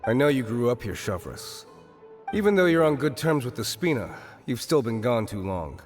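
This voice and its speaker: A man playing a wary, worldly tavern keeper from a rough quarter in a video game, with a guarded, sardonic manner. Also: medium pitch, big pitch swings, moderate pace, darkish tone, faint music behind, lightly acted. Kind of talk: video game character acting.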